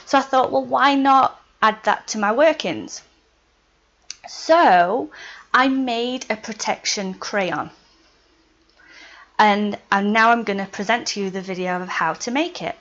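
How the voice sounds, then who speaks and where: A woman's voice: on the high side, measured pace, a bit bright. Chatty Yorkshire woman, slightly dull sound. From Yorkshire, England. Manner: chatty, laughs between points, often says "right" and "um". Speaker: witchcraft vlogger. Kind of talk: casual how-to vlog.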